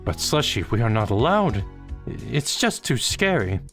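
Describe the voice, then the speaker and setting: A man voicing a timid, panicky little male ghost who squeaks and stammers when nervous. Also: very animated, unhurried, vocal fry, a bit bright, soft background music, full character voice. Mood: fretful.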